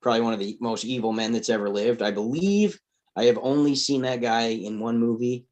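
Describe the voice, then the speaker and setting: A man's voice: mid-range voice, steady tone, brisk, a little mellow. A man talking casually and conversationally in a group discussion. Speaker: table read participant.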